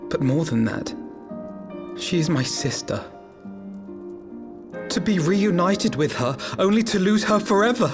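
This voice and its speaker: A man playing an earnest, troubled young nobleman in a game cutscene, with formal, eloquent, measured speech. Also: sits high, expressive. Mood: sorrowful. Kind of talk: video game cutscene acting. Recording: background music under the dialogue.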